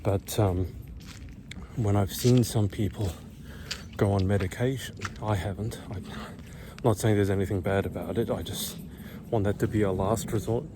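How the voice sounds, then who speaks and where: A man's voice: on the low side, steady tone, normal speed, pauses often, background noise. Breathless whispering man walking outdoors. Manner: whispering, hushed. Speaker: vlogger. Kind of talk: casual walking vlog monologue. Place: outdoors, walking uphill in a quiet neighborhood.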